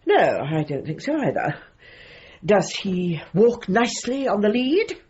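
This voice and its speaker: An actress playing a brisk, bossy, unsentimental middle-aged dog trainer in a comic radio drama, with an imperious, precise, patronising manner. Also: female voice, medium pitch, very animated, darkish tone, husky, muffled recording. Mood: probing, officious.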